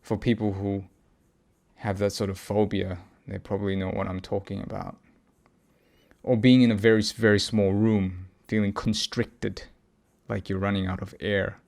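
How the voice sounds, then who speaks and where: A man recording a reflective personal audio log, speaking haltingly as he searches for words and often pauses mid-thought. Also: fairly low pitch, little pitch movement, creaky, darkish tone. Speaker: podcast host.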